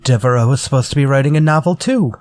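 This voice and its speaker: An actor playing a menacing, politely theatrical murderer in a retro-style radio drama. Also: male voice, medium pitch, darkish tone, no echo, lightly acted. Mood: coaxing. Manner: courtly, measured, theatrical. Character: menacing murderer from a story, politely coaxing then vengeful.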